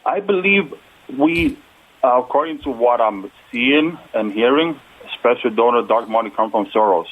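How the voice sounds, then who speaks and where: Earnest Puerto Rican-accented candidate, halting, heard over a phone line. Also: male voice, mid-range voice, lively intonation. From United States. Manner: halting, many fillers, earnest. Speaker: political candidate guest. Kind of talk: phone-in interview.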